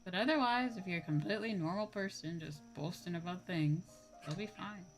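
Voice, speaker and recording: A woman's voice: lowish voice, brisk, over music, poor audio. A woman livestreamer chatting casually and informally, thinking aloud as she goes. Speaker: livestreamer and VTuber host.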